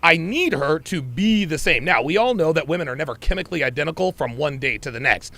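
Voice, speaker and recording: A man's voice: on the high side, expressive, fast, gravelly, sharp tone. A man in his forties hosting a podcast interview, chiming in eagerly and affirming his guest often. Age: 40s. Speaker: podcast host.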